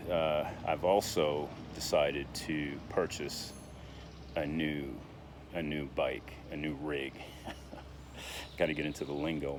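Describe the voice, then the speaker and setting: A man in his sixties talking casually in a vlog, halting with many fillers and restarts. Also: rumbling low, noisy background. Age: 60s. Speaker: vlogger.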